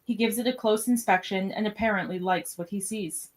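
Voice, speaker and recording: Mid-pitched woman narrating a radio play. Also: fairly quick. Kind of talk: scripted narration of stage directions.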